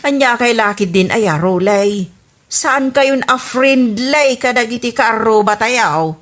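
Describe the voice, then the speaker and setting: An exasperated, nosy Ilocano woman played by an actress from a comedy radio drama. Her voice sits high and swings widely up and down as she frets about the neighbourhood feud. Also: lively intonation, slow pace. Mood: scolding.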